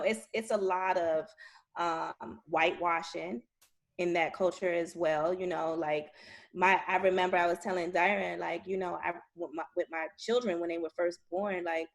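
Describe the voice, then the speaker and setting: Woman, thirties, quick-witted co-host, slightly low voice, lively interjections. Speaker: podcast co-host. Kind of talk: casual chat.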